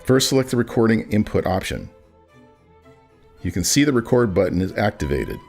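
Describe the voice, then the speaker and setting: A man with a fairly low voice narrates a software demonstration. He reads from a script as a tutorial presenter. Music plays behind him. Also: very animated, a little fast, gravelly, slightly rough. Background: background music.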